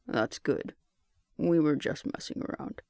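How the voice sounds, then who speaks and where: Man as a gravelly, good-humored middle-aged survivor. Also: a little high, unhurried, a little mellow, no echo, studio quality. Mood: friendly. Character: good-humored, protective, awkward forty-three-year-old survivor.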